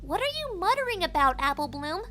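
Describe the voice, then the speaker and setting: Woman as an excitable, very high-pitched little filly. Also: fairly quick, faint music behind, heavily acted. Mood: alarmed. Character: young filly ponies, excitable, snarky and nervous. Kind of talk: fan fiction audio drama acting.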